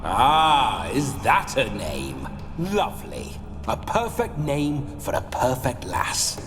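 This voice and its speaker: A man's voice: mid-range voice, wildly swooping pitch, slow pace. A swooping, leering nobleman ghost. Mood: sly, leering. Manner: theatrical, florid, leering. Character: roguish male nobleman ghost, lecherous and boastful. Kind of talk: video game acting. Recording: long reverb, game music behind.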